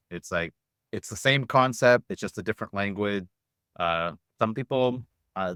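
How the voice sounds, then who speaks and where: A man's voice: low voice, fairly bright tone, dry recording, clean studio sound. A man hosting a casual conversational interview, thinking aloud in a rambling way. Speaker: podcast interviewer.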